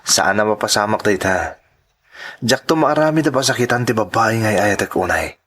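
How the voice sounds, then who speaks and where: A man plays an earnest suitor in a radio drama, gentle, persistent and deeply smitten. He has an Ilocano accent and a fairly low, husky voice. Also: lively intonation, normal speed. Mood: earnest, tender.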